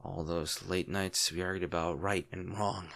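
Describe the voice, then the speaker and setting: A man playing a lovesick, protective villain in an intimate, brooding audio roleplay, speaking earnestly with dramatic pauses. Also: low voice, fairly even intonation, gravelly, a bit bright, pitched below the performer's own voice. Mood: wry, tender. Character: lovesick male villain, brooding, protective, torn over wounded hero.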